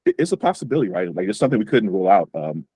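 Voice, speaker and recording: Man, interview host, low voice, dry sound, fillers and restarts. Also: sing-song, racing, warm tone, breathy edge, no echo. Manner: repeats words, many fillers. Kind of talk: casual interview.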